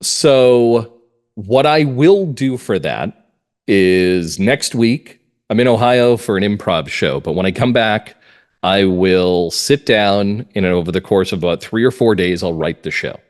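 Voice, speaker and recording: Animated man explaining in interview. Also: on the low side, a little slow. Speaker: guest playwright. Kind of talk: conversational interview answers.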